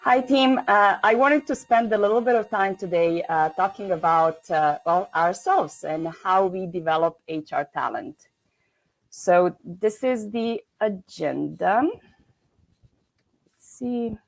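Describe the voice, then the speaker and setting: A woman's voice: medium pitch, slow pace, creaky, poor audio. A woman from Serbia giving an online keynote on a video call, speaking with many hesitations and restarts.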